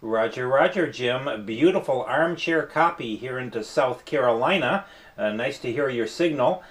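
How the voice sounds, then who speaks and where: Friendly ham operator with a medium voice. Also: male voice, medium pitch, lively intonation, normal speed. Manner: friendly, many "uh" fillers, enthusiastic. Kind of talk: radio contact exchange. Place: home radio station, early morning.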